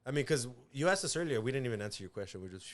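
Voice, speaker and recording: A man's voice: mid-range voice, expressive, rapid-fire, over a noisy crowd. A man chatting casually as a podcast guest and band member, laid-back, peppering his talk with swearing and "like". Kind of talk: casual chat.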